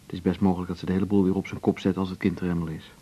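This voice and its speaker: Low-voiced, calm husband. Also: male voice, very fast, slightly rough. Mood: practical, caring. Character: calm, practical, supportive husband of the doctor. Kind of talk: naturalistic radio drama conversation. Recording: muffled, noisy radio recording.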